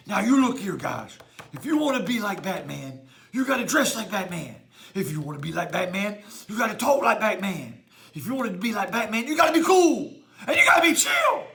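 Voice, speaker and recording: Man playing a boastful scolding superhero, children's skit, high voice, gravelly edge. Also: fairly bright tone, full character voice. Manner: boastful, scolding, increasingly worked up. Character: hot-headed male superhero losing his patience. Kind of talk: skit for a children's church video.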